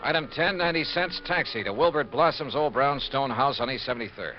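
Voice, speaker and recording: An actor playing a brisk, tough, world-weary male investigator in a 1950s radio detective drama, clipped and probing. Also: medium pitch, music in the background, lightly acted. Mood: matter-of-fact. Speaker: lead actor. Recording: dull, noisy old radio recording.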